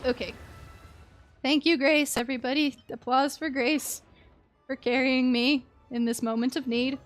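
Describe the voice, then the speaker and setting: A young woman live-streaming a game, chatting casually with an expressive, playful manner. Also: sits high, a little slow, faint music behind. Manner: expressive, playful, exclaims and chants little words.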